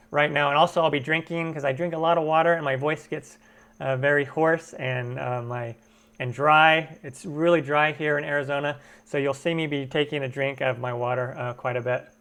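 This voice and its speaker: A man's voice: on the high side, fairly bright tone. A man hosting a casual live stream Q&A for his cooking channel, greeting viewers and answering chat comments, recorded from home on a computer webcam mic. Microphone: computer webcam microphone.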